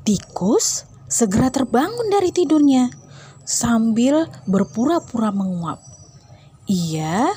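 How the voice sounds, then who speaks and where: Woman reading a kids fable, real breathy and mellow, slow, lots of up and down. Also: a little high, very animated. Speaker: storyteller. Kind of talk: expressive fable narration.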